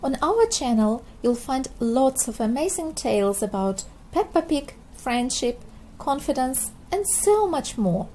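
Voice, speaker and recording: A woman narrating a children's storybook in a scripted, expressive read-aloud style for very young listeners. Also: high voice, a little hiss or hum, low-quality recording. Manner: slow, expressive delivery for small children.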